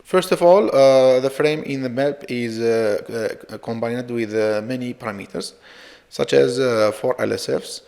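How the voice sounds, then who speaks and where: Male researcher presenting slides; stop-start hesitant delivery, gravelly fry, echoing hall-like sound. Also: mid-range voice, darkish tone. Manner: halting, hesitant delivery with frequent repeats. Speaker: researcher presenting own work. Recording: reverberant, hall-like echo.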